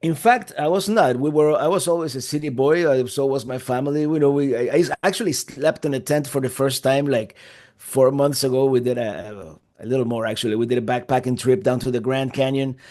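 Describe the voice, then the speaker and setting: Relaxed man in his fifties from Cuba, a guest who rambles with restarts and "um"s. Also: medium pitch, fairly quick. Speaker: interview guest. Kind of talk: casual interview answers.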